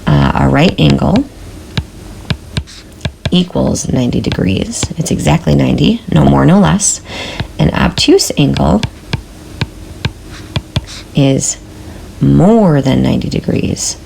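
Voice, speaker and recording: A woman teacher giving a recorded math lesson in a hoarse voice from a sore throat, patiently checking in with her students with a frequent "okay?". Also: extremely low pitch, animated, slow pace, pauses often, background noise.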